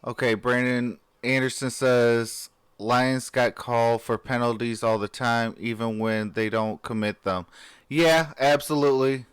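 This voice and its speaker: A man hosting a live stream, reacting to a game and chatting with viewers in a sleepy, just-woken voice, cheering like an excitable fan. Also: mid-range voice, a little slow, smooth, no echo. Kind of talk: casual live reaction chat.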